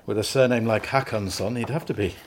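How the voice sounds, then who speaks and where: A man's voice: lowish voice, fairly quick, a little mellow, slight background noise, natural voice. An actor playing a hesitant, well-meaning village vet in a radio drama, stumbling over his words with ums. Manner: hesitant, awkward, full of ums. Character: awkward well-meaning village vet, middle-aged man.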